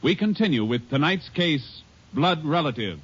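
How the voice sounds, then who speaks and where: Muffled 1950s radio sound. American male announcer, slightly high pitch, formal scripted delivery. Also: animated, normal speed, husky. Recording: muffled vintage radio recording with music.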